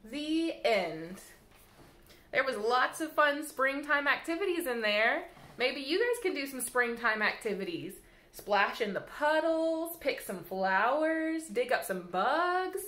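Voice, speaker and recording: A woman in her thirties reads a picture book to small children in a slightly high, very animated voice. Her delivery is sing-song and addressed straight to the kids. It is recorded in a small, echoey room on a camera mic a little way off. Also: takes it slowly. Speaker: library staff storyteller. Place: small room indoors by a window, seated. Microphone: camera mic a short distance away. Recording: roomy echo from camera mic.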